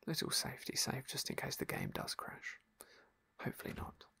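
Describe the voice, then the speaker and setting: A man whispering wry commentary as he plays a fantasy game for ASMR. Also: moderate pace. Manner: soft, wry, playful asides.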